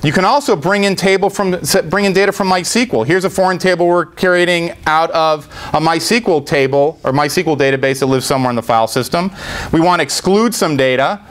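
Higher-voiced man presenting a demo. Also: quick pace, a bit bright. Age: middle-aged. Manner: explanatory, informal, step-by-step. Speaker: tech presenter. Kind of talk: live software demo presentation. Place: indoor meetup presentation room.

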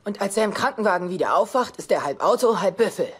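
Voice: mid-range voice, expressive, fast, breathy edge. A voice actor playing a blunt, goofy, enthusiastic boy in dubbed sitcom dialogue, casual and slangy. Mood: eager.

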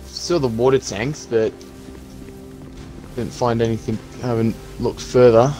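Guy acting as a hopeful zombie survivor, practical, kinda halting everyday voice, game rp skit, music in the back. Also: mid-range voice, fairly even intonation, mellow, lightly acted, rough recording. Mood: practical, planning. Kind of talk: improvised game roleplay skit.

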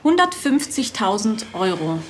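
A woman violinist in a TV crime comedy, cool and sardonic. Her medium-pitched voice stays dry and composed over faint ambience. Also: sing-song, measured pace, slight background noise.

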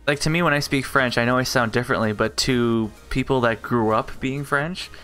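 A man chatting casually as a co-op gaming partner, easygoing and quick to laugh. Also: medium pitch, pushed and bright, soft background music. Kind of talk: casual gameplay chat.

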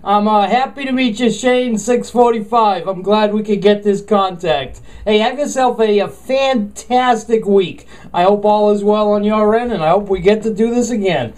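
A man's voice: sits high, normal speed, a little mellow. A friendly man making casual CB radio contacts, repeating call signs and sign-offs. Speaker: CB radio operator. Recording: thin phone-like CB radio sound, chatter.

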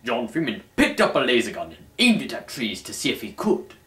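A young man hosts a mock-dramatic reading with formal, pompous flair. His medium-pitched voice moves in lively, wide swings. The recording is echoey, picked up from a short distance in a small room. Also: a little fast. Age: young adult. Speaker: host and reader. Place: small dim room at home. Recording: roomy, distant camera microphone.

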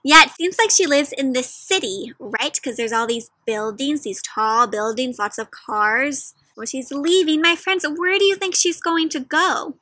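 A young adult woman hosting an interactive picture book read-aloud, questioning young children directly in an animated, teacherly way. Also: sits high, unhurried, hardly any pauses, dry recording. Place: outdoors in a leafy garden.

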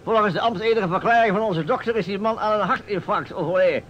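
An actor playing a pompous, blustering older small-town mayor, easily indignant, in a Dutch radio drama. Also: male voice, sits high, fast, fairly bright tone. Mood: self-important. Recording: muffled vintage radio recording with noise.

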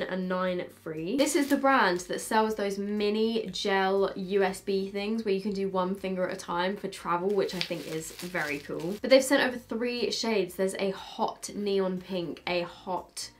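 Young British woman, twenties, chatty beauty vlogger, casual desk-side unboxing. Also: mid-range voice. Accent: British English. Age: 20s. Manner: chatty, thinks aloud, quick asides. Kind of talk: casual chat, unboxing makeup. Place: bedroom filming setup, indoors.

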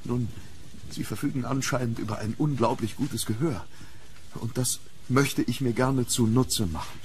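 A man playing a fearful, hunted gentleman in a German horror radio play, speaking with dramatic urgency. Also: mid-range voice, normal speed, a little hiss or hum, lightly acted. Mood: uneasy. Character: hunted, fearful English gentleman haunted by a demon.

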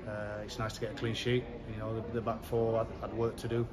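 A middle-aged man talks through a match in a low, very flat voice, stopping and restarting often. He stands in a covered stadium stand, and loud crowd noise carries behind him. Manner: measured, halting, many restarts and fillers. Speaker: football manager. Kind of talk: post-match interview.

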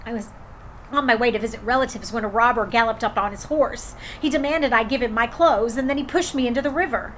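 Woman playing an aggrieved, high-voiced male traveller. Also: quick pace, a bit bright, slight background noise, natural voice. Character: shivering robbed male traveller, aggrieved but generous. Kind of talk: children's folktale storytelling.

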